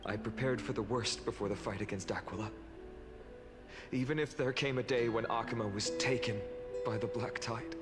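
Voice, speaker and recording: A man playing an earnest, selfless young lord in a fantasy video game, speaking in a solemn, measured way that sometimes turns hushed and breathy. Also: mid-range voice, steady tone, lightly acted. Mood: apologetic, grave. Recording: game dialogue under loud music.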